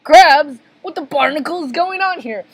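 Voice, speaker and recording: A woman's voice: high-pitched, moderate pace, voice pushed up. Woman voicing a bossy, flustered little plankton boss. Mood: startled. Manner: commanding, exclamatory, easily flustered. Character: small bossy, exasperated male plankton restaurant owner.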